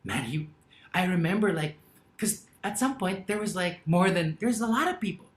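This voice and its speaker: A man's voice: high-pitched, lively intonation, moderate pace, reverberant. A man, co-hosting a podcast and telling a long story in casual chat, laughing often. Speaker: podcast co-host.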